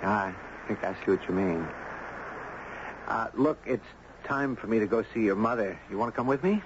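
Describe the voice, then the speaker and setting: A man playing a gentle, earnest character in a 1970s radio drama, speaking in a measured, literate way. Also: on the low side, animated, background noise, lightly acted. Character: gentle, earnest man drawn into a tragic tale. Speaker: radio drama actor and narrator. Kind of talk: 1970s radio drama acting, first-person narration. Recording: muffled 1970s radio broadcast, music behind.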